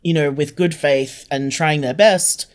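A man's voice: a little high, steady tone, measured pace. A man explaining things earnestly in a reflective interview, repeating phrases for emphasis. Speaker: podcast guest, audio drama co-creator.

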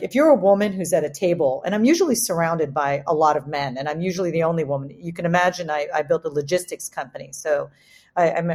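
Emphatic, slightly low-voiced woman speaking candidly. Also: darkish tone. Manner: emphatic, repeats phrases for stress. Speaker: startup co-founder, panel speaker. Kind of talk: candid discussion, motivational business talk.

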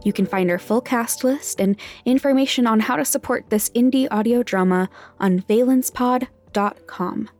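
A woman's voice: fairly high pitch, normal speed, hardly any pauses, a little mellow. Woman reading podcast credits over music. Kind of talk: scripted credits narration.

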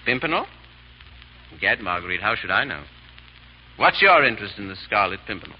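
A man's voice: on the low side, sing-song, a little fast. A man acting a vain, foppish English aristocrat with an affected, dithering upper-class drawl in a radio drama. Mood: drawling, quieter. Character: foppish, vain English aristocrat husband playing the silly dandy. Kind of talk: 1930s-40s radio acting. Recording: old muffled radio broadcast with hiss.

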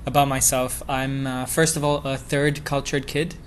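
A man's voice: medium pitch, level tone, normal speed, a little hiss or hum. A young man from Dubai, a podcast guest talking casually about himself, with many fillers and chuckles. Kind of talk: casual interview chat.

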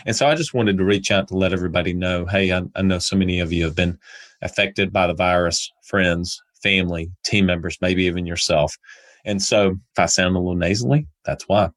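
Very deep-voiced male podcast host. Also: fairly even intonation, brisk. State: nasal from a cold. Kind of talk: podcast interview and outro.

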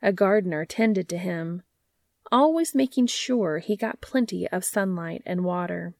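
A woman's voice: lowish voice, lively intonation, measured pace, creaky, a little mellow, dry recording, studio quality. A woman hosting and reading stories aloud in scripted storybook narration, with music behind her. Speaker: podcast host and fan fiction reader.

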